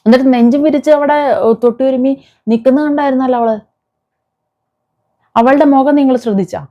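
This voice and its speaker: A woman with a Kerala Malayalam accent giving informal, indignant commentary to viewers, scolding and posing rhetorical questions. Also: a little high. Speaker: video commentator. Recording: dull, muffled lo-fi sound.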